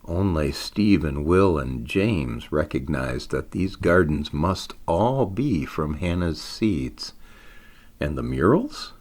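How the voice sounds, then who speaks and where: A man reading his own story aloud in an expressive storytelling style, with deliberate, dramatic phrasing. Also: a bass voice, slightly rough.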